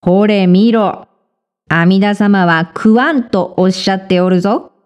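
A stern old temple priest from a storybook, acted out by a woman. He scolds pompously in old-fashioned speech, slow and weighty. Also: medium pitch, darkish tone, clean tone, highs cut off, lightly acted.

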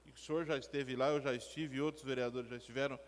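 A man's voice: mid-range voice, steady tone, fast. A man speaking as a city councillor at a meeting table, insistent and emphatic. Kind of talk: council floor remarks. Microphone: table gooseneck mic. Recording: long hall reverb.

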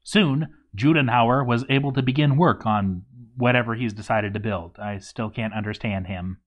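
A man reading a game story aloud as dramatic narration, with theatrical emphasis and deliberate pauses. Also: mid-range voice, highs cut off.

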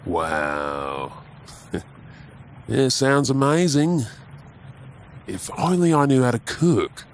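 An affectionate, caring Australian husband, acted by a man in an intimate ASMR roleplay. He talks very slowly and softly, with a lively, moving pitch and a playful, teasing streak. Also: slight background noise, heavily acted.

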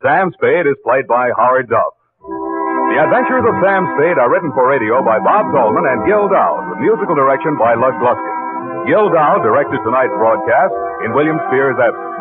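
A man's voice: medium pitch, lively intonation, moderate pace, music in the background. A man announcing on 1940s radio in a polished, selling style. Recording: tinny, muffled 1940s radio recording.

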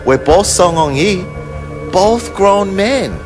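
An actor playing a sneering young male gangster in a video game, with a taunting, drawn-out way of talking. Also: sits high, lively intonation. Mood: mock-friendly. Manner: taunting, drawn-out, punctuated by snickering. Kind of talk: video game cutscene acting. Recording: game audio with music behind.

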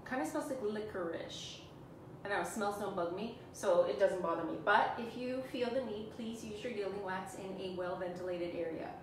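A Canadian woman in her forties, talking viewers through a live furniture painting demo in a chatty, self-teasing way. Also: mid-range voice. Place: small room indoors, sitting on the floor. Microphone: camera on a stand a few feet away. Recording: echoey room, far from mic, background hum.

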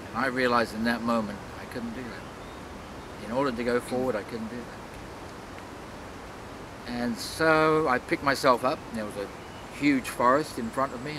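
Casting: man, 60s, reflective interviewee, deliberate with pauses, recorded outdoors with clear background noise. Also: medium pitch. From London, England. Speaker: world traveler being interviewed. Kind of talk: reflective personal storytelling to camera. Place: outdoors in a leafy city park.

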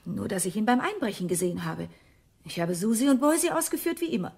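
A woman's voice: medium pitch, big pitch swings, quick pace, a little mellow, heavily acted. An actress playing an uneasy female witness who is keen to leave, in a German radio crime drama. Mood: uneasy, hushed. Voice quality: breathy, husky.

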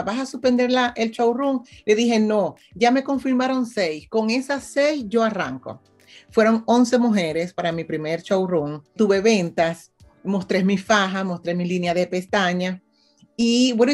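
Venezuelan lady, about fifty, chatty interview guest, keeps asking "ves?", soft music way back behind her. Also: medium pitch. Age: 50s. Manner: animated, often checks in with "¿ves?". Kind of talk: casual conversational interview.

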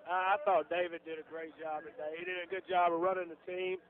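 A man's voice: high voice, flat delivery, fast. A man from Georgia, a plain-spoken football coach giving a post-game radio interview and often repeating his phrases. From Georgia, USA. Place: locker room. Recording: muffled lo-fi radio broadcast recording.

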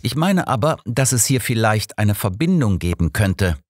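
A man voicing an elderly, courteous detective in an audiobook, with formal, measured questioning. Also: lowish voice, big pitch swings, no echo, studio quality, pitched above the performer's own voice. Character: elderly male detective, courteous, probing, composed. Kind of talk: audiobook character dialogue.

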